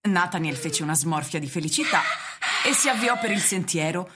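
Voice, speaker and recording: A woman's voice: lowish voice, animated, a little fast, very noisy. A woman narrator reading literary prose for a radio drama. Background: prominent music.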